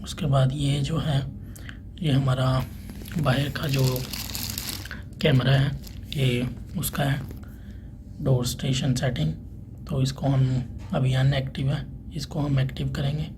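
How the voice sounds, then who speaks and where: A man's voice: medium pitch, level tone, takes it slowly, long pauses. A man giving a plain, unscripted, step-by-step hands-on tech tutorial as an installer. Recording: clearly noisy room ambience.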